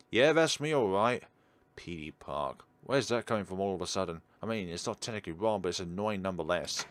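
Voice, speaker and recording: Low-voiced man reading a game aloud. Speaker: let's play host. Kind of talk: reading game narration aloud with ad-libbed commentary.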